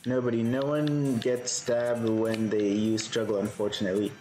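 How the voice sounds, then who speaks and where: A man live-streaming a game, with a soothing voice, thinking aloud in a relaxed way and answering chat. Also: mid-range voice, normal speed, echoey room, soft background music, rough recording.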